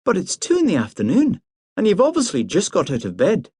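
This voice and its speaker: Man doing a crisp scolding young lady, brisk, raised pitch, dry audiobook sound. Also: wildly swooping pitch, darkish tone, no echo, exaggerated voice. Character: upper-class young woman, brisk and scolding.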